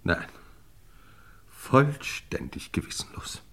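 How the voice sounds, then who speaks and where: An actor playing an impatient, easily flustered aristocratic lord in an old German radio crime play, brusque and pompous. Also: male voice, slow, a bit bright, whispered. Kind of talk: vintage German radio crime drama acting.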